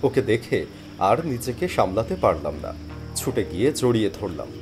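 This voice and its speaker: A man playing a weary, penniless job seeker who tells his story in expressive, plaintive dramatic narration. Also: fairly low pitch, moderate pace. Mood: weary, reflective. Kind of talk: first-person dramatic story narration and character dialogue. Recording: music behind the voice. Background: background music.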